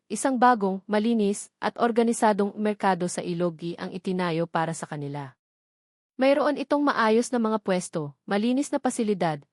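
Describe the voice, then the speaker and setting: A woman with a Filipino accent narrating a scripted love story in a measured, sentimental way, in short dramatic phrases. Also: medium pitch, a little mellow, no echo, studio quality.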